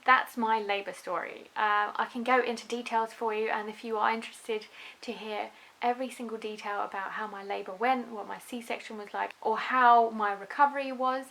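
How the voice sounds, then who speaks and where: A young woman speaks to camera in a chatty, earnest way, with a faint Russian accent worn down by a London upbringing. Her voice sits a little on the high side. She fills her pauses with ums and restarts her sentences now and then. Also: gravelly, fairly bright tone, poor audio. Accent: Russian, softened by a London upbringing. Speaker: vlogger, new mother. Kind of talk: casual talk to camera.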